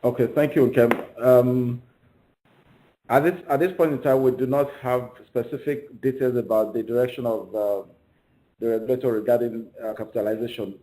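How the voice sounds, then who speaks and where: Male, company executive. Mellow voice, halting formal delivery, conference call answers. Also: medium pitch, fairly even intonation, low-quality recording.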